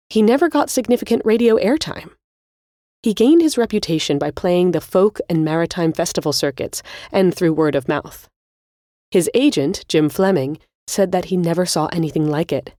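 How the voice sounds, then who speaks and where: A woman narrates as a podcast host with a slightly low, softly mellow voice. Her delivery is scripted, measured and explanatory, like a spoken essay, captured close and dry. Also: no room sound.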